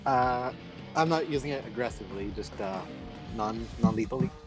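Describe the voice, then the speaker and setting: Male tabletop player, medium pitch, understated short remarks, soft guitar music in the background. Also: normal speed. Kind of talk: casual game talk.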